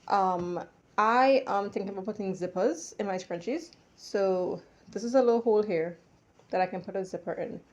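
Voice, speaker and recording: A woman dressmaker talking casually to a tripod camera in her home sewing studio, rambling and chatty with a slightly stuffy, under-the-weather voice. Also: mid-range voice. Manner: chatty and rambling, many fillers and restarts. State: a little stuffy from a cold. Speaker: vlogger and dressmaker. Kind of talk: casual vlog chat to camera.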